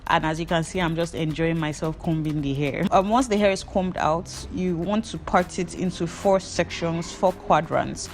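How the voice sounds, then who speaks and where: A woman's voice: lowish voice, normal speed, hardly any pauses, darkish tone, a little hiss or hum. A young Nigerian woman with a Nigerian accent and Yoruba as her first language, giving a hair-braiding tutorial voice-over in a playful, gossipy, rambling way.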